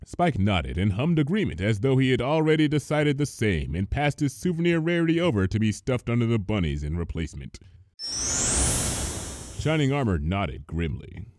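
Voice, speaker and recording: A man narrating a scripted story as an expressive reader. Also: mid-range voice, moderate pace. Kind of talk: scripted narration, expressive fan fiction reading.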